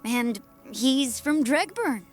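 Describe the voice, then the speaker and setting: A woman's voice: high voice, lively intonation, slow pace, faint music behind. An actress playing an assured outlaw scout, a woman, in a fantasy audio drama. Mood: earnest, assuring. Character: assured female outlaw scout vouching for a newcomer.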